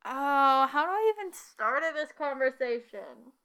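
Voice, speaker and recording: A young woman co-hosting a casual podcast, relaxed and teasing, laughing often. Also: sits high, a little slow. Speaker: podcast co-host. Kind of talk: casual chat.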